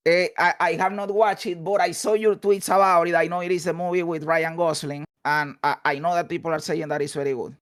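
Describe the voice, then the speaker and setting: A man in his mid-thirties joins over a video call as a guest. He talks in an enthusiastic, friendly way and keeps calling people dude and brother. His voice sits notably high for a man. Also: steady tone, a little fast, hardly any pauses, a bit bright, clear, resonant tone. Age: 30s.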